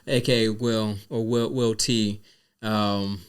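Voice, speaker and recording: A man's voice: on the low side, flat delivery, takes it slowly, clean tone. A man in his forties from Virginia, co-hosting a podcast in laid-back casual chat with many fillers. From Virginia, Tidewater area. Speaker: podcast co-host.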